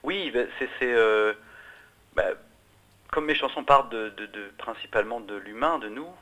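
A man's voice: medium pitch, moderate pace, bright, forceful tone, poor audio. A middle-aged man, a musician, answering interview questions in a hesitant way, with many restarts and fillers. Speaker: musician guest.

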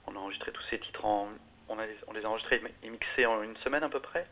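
A man's voice: on the low side, very fast, sharp tone, low-quality recording. A middle-aged man, a musician, answering interview questions in a hesitant way, with many restarts and fillers. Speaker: musician guest.

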